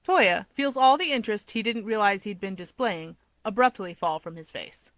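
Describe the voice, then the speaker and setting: A woman's voice: medium pitch, lively intonation, moderate pace, a bit bright, dry recording. A woman reading scripted fiction aloud as an audiobook narrator.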